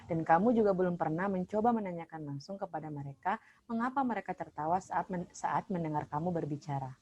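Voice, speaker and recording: A woman playing a counselor in a role-play, calm and gently probing over an online call. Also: on the low side, quick pace. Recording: dull lo-fi online call with faint noise.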